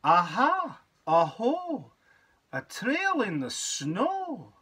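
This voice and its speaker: A man voicing a curious, bold young girl monster from a storybook, with dramatic drawn-out exclamations. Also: very high voice, huge pitch swings, pushed and bright, breathy edge, pitched above the performer's own voice, exaggerated voice. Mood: excited, curious.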